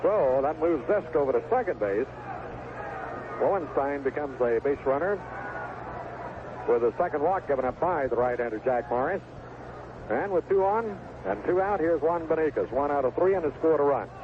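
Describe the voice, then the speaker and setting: A man calls a baseball game live from the ballpark on an old AM radio broadcast. The sound is thin and narrow, with heavy noise behind him. His voice sits a little high, and his phrasing is folksy and colorful. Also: lively intonation, moderate pace. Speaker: baseball radio play-by-play announcer. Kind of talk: live play-by-play sports commentary. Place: outdoor ballpark broadcast booth. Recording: tinny, noisy 1970s AM radio broadcast.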